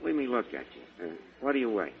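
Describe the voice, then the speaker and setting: An actor playing a brash, slangy, pushy wisecracker in 1940s radio comedy. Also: male voice, medium pitch, big pitch swings, quick pace, creaky, slightly rough, heavily acted. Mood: bossy, scheming. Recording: muffled 1940s radio broadcast.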